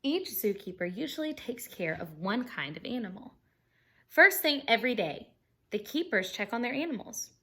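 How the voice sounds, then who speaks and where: A woman's voice: fairly high pitch, very animated, normal speed, bright, forceful tone. A woman teacher reading a children's picture book aloud expressively, explaining things simply and putting questions to her young listeners.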